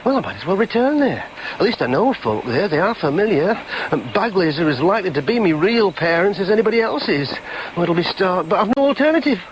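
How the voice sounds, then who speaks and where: A lost yorkshire man, high voice all over the place, anguished radio monologue, bit noisy behind him. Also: sing-song, brisk. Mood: despairing. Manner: anguished, repetitive, philosophising. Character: bewildered, self-pitying man searching for his identity. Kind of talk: broadcast radio drama monologue.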